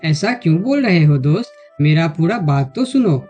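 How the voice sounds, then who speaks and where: Woman voicing a loyal, mellow cartoon elephant. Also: sits low, expressive, normal speed, muffled recording, soft background music. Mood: pleading, earnest. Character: loyal, earnest male elephant friend. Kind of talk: children's cartoon story dialogue.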